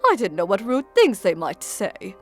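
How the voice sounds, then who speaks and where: Woman acting an anxious, very expressive mother. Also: mid-range voice, moderate pace, husky, soft background music. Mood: explaining. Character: gentle, anxious, apologetic middle-aged mother. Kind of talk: anime-style manga dub acting.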